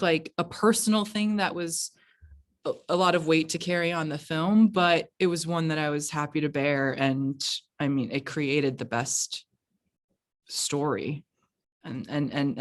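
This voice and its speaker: A woman with an American accent speaks in a low register. She answers interview questions casually and reflectively, feeling her way through each thought with halting phrasing. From United States. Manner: halting, reflective, frequent 'like'. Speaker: film producer being interviewed. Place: remote virtual event.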